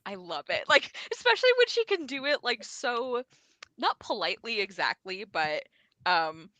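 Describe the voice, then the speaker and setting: Bright-voiced, giggly woman chatting about books. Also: a little high, moderate pace, no echo. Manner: enthusiastic, giggly, animated retellings. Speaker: book discussion co-host. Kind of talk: casual book chat. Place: live online video call.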